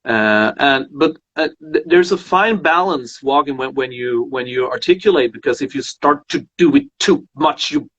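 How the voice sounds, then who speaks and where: A man hosting a casual conversation about singing, a voice teacher who talks haltingly with many fillers and restarts. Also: mid-range voice, a bit bright. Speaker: host and voice teacher. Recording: muffled lo-fi sound.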